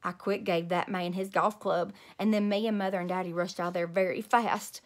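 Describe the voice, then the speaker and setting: A woman in her thirties reading a children's story aloud in an animated, emphatic way. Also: lowish voice, moderate pace. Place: small room at home.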